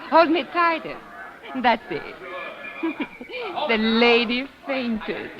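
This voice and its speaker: High-pitched flirty theatrical starlet. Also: female voice, sing-song, drawn-out words, full character voice. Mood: teasing. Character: flirtatious, theatrical lady trapeze star, teasing schemer. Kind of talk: old-time radio drama acting. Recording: muffled old radio recording, crowd noise.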